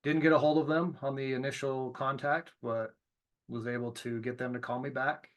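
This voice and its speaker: A man's voice: medium pitch, flat delivery, moderate pace. Casual, self-deprecating male instructor. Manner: informal, self-deprecating, often checks in with "okay?". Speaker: trainer teaching students about cold calls. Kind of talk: casual video lesson with commentary.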